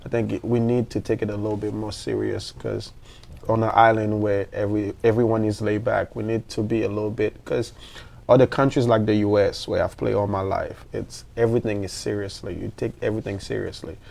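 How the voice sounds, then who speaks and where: A man's voice: fairly low pitch, level tone, fairly quick, gravelly, a little mellow. A man, a national team football player, talking earnestly and searching for words in a radio sports interview.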